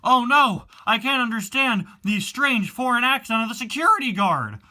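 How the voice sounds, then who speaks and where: A man voicing an excitable cartoon hero who exclaims in backwards, inverted sentences. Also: very high-pitched, normal speed, full character voice. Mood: theatrical alarm. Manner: inverted word order, exclaiming.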